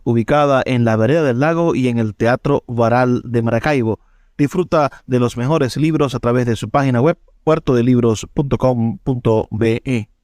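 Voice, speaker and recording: A man's voice: mid-range voice, a little mellow, dry recording, studio quality. A man with a Venezuelan accent presenting a radio programme as its host. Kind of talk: radio presenting and sponsor reads. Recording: music behind the voice. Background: music.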